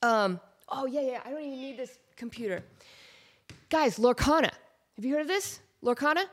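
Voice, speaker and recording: This is a woman with a congested, nasal voice that sits a touch above the usual pitch. She is in her thirties and hosts a casual podcast. She tells stories with lots of energy, laughing and slipping into quoted voices as she goes. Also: moderate pace. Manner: animated, laughs often, acts out quotes. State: stuffed-up nose. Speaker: podcast host. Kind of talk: casual chat.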